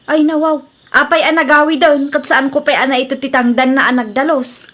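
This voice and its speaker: A woman's voice: high voice, steady tone, brisk, a bit bright, a little hiss or hum. A woman with an Ilocano Filipino accent playing a bossy, impatient employer in a radio comedy drama. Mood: annoyed.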